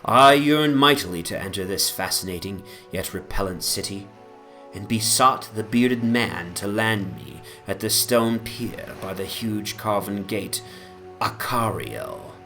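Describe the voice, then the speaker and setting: A man reads literary fantasy with solemn, theatrical weight. His voice sits a little low and moves very slowly, in measured phrases, over atmospheric music. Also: expressive, bright, forceful tone. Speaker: narrator, reader. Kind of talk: dramatic audiobook reading of literary fantasy.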